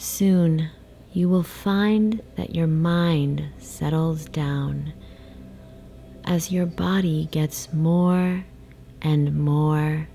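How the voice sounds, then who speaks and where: A woman guiding a sleep meditation in a soft, soothing, hypnotic way, with breathy phrase endings and long pauses. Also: sits low. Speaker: meditation guide. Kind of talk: guided sleep meditation, slow hypnotic narration. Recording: faint background noise, ocean waves. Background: ocean wave sounds.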